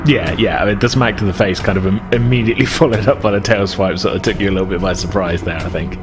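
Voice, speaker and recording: A man's voice: sits low, fast, over music. A man acting as game master, narrating a tabletop game in an improvised, hesitant, conversational way.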